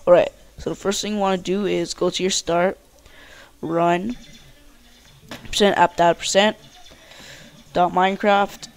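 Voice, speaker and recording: Gaming tutorial host, mid-pitched voice, slow pace, informal, rambling, casual instructions. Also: fairly even intonation, clear, resonant tone. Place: indoors at a computer.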